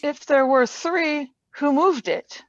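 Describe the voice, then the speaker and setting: A woman acts a bewildered young female companion in a radio serial. The voice is high and slow, hesitant and full of questions. The sound is dull and muffled. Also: no room sound. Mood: anxious, puzzled.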